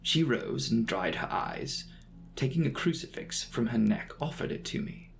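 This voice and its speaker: A man narrating a classic novel as a dramatic audiobook, with measured, formal, theatrical phrasing. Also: medium pitch, animated, vocal fry, faint music behind.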